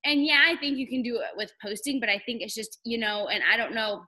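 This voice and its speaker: Bright, rambling woman host on a video call. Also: a little high, a little fast. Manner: rambling, friendly, lots of "like". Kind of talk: casual live-stream chat.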